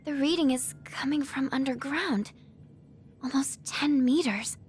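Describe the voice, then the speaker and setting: A woman's voice: sits high, measured pace, slight background noise. An actress voicing an earnest young female scout in a video game, careful in her reports. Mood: focused, uneasy.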